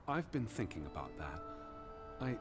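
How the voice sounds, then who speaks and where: An actor playing a worried 1920s American naturalist in a video game, speaking in an earnest, brooding, cautious way. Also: male voice, low voice, very animated, normal speed. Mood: uncertain. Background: music.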